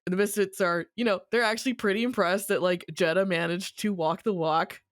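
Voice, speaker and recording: A podcast host giving a casual, slangy and wry recap of a story in an animated way. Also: medium pitch, brisk, no echo, clean studio sound.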